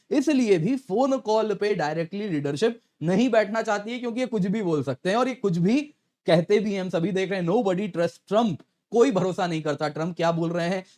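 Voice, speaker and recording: A man's voice: sits high, a little mellow. A man presenting current affairs as an educator, conversational, addressing viewers directly and posing rhetorical questions. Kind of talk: explanatory news commentary to viewers.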